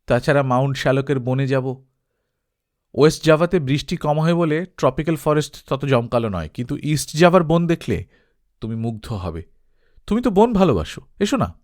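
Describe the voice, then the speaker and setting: A man's voice: mid-range voice, animated, normal speed, darkish tone, natural voice. A man playing an exuberant English artist friend in a storybook reading, effusive and coaxing as he talks. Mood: eager, coaxing.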